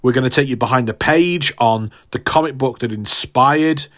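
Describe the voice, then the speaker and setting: A man's voice: medium pitch, normal speed, fairly bright tone. A man hosting a podcast, talking straight to listeners in an emphatic, mock-grandiose way, casual but partly scripted. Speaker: podcast host.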